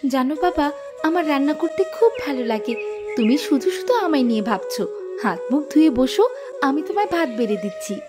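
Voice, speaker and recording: Woman playing a high-voiced kind village girl. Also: very animated, a little fast, over music. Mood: cheerful, caring. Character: kind, dutiful, caring young village girl. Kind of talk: cartoon story voice acting.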